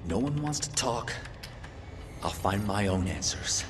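Guarded survivor, lower pitch, lively intonation, resolute, video game, rumbling noise. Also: male voice, measured pace. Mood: brooding. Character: determined, guarded male zombie-apocalypse survivor. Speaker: voice actor. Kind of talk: video game cutscene acting. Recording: reverberant hall, rumbling background noise.

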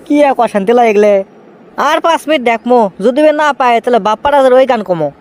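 A man's voice: very high-pitched, fast. A man voicing a whiny, sulky young boyfriend in a comic cartoon, with a Bogura accent from northern Bangladesh. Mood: grumbling. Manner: pleading, stubborn, breaks into teasing song.

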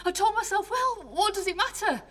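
High, bright, sceptical wife. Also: female voice, animated, a little fast, exaggerated voice. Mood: hurt, resigned. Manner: pressing, direct questioning. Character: wary, hurt, sceptical estranged wife. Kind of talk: modern radio drama acting.

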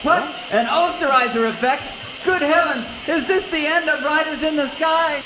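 High-voiced, wary cowboy. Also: male voice, normal speed, background noise. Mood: worried, wry. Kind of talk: old-time radio serial parody.

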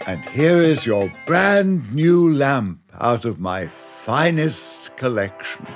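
A scheming cartoon magician, acted by a man, theatrical and full of villainous self-satisfaction. His mid-pitched voice draws words out slowly, with music playing underneath. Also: big pitch swings. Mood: wheedling sales patter. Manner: theatrical, evil laughs. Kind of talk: children's cartoon acting.

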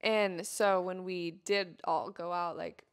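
A woman's voice: fairly low pitch, fairly even intonation, takes it slowly, smooth. Young woman chatting casually. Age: young adult. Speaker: podcast co-host. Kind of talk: casual chat between friends. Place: small bedroom, sitting on a bed. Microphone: handheld microphone held close.

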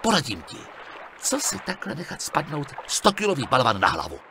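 A man's voice: a little high, wildly swooping pitch, background noise. A man voicing a sarcastic young monk adventurer in an adventure game, dry and mocking in his remarks. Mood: taunting. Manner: dry, mocking, quick-witted. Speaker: game protagonist.

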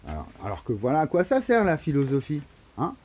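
A man's voice: fairly high pitch, huge pitch swings, a little fast, a little mellow, a little hiss or hum. A man, rambling to a phone camera in a wooden shed, repeating words and piling on fillers with sudden emphatic bursts. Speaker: vlogger. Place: wooden shed or barn, indoors. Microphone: phone camera held low at arm's length.

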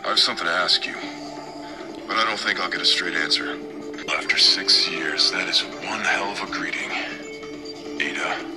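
A man's voice: low voice, normal speed, long pauses, over music, natural voice. An actor playing a tough, determined male agent in video game dialogue. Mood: low, dry.

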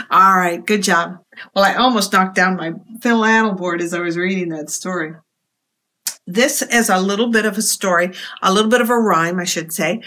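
A woman in her fifties reading aloud at storytime for young children, expressive and deliberate, in a small room. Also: mid-range voice.

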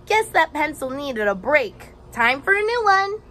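A woman's voice: high-pitched, very animated, measured pace, sharp tone, heavily acted. A woman voicing a little girl ninja from a storybook in an emphatic, exclaiming manner. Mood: cheerful, grateful. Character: cheerful, grateful little girl cartoon ninja, sometimes discouraged. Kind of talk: storybook character voice. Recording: faint outdoor background noise.

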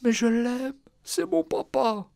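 A man voicing a distraught grown daughter who pleads in a high, strained voice. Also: animated, slow, creaky, no echo, clean studio sound, exaggerated voice. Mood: anguished.

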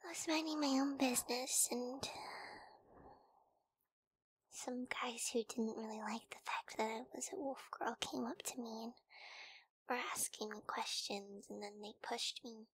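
A woman playing a timid, sweet, wary young wolf girl in an ASMR roleplay, speaking softly and hesitantly, often in whispers, close to the microphone. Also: fairly high pitch, a little slow, pauses often, breathy edge, poor audio. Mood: shy, subdued. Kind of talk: ASMR girlfriend audio roleplay.

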